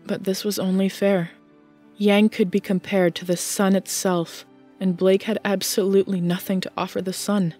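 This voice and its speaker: A woman's voice: mid-range voice, little pitch movement, normal speed, warm tone, soft background music. A woman reading scripted fiction as the narrator of an audiobook.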